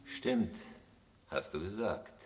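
A deep-voiced, terse robber. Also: male voice, lively intonation, slow, a little hiss or hum. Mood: cool, businesslike. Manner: clipped, matter-of-fact. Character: terse, calculating male professional robber. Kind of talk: German radio crime drama acting. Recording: loud music behind the dialogue.

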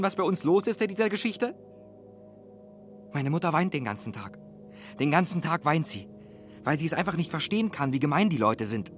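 An actor playing an anxious, earnest young man who pleads his innocence haltingly in a radio drama. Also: mid-range voice, lightly acted. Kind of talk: German radio drama acting.

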